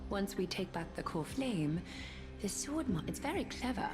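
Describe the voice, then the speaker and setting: Woman acting a commanding fantasy game leader lady, formal, pitch swings a lot, loud music behind. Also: sing-song, moderate pace, natural voice. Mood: commanding, measured. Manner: formal, authoritative. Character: composed, imperious female leader in a fantasy war. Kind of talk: dramatic video game voice acting.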